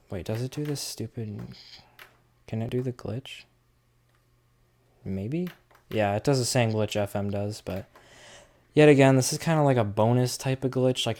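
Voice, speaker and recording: A man's voice: fairly low pitch, darkish tone. A man with a clean, resonant voice hosts a casual product demo. He talks informally and directly to viewers, rambling a little as he explains each control and feature. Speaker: host of a gadget review series.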